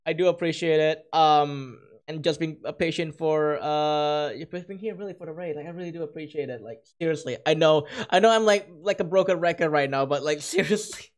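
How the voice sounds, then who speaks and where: A livestreamer chatting casually with viewers, voice hoarse and cracking from overuse, gushing thanks in an excitable, self-mocking way. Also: medium pitch, fairly quick, few pauses. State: hoarse, losing voice. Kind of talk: casual stream chat with viewers.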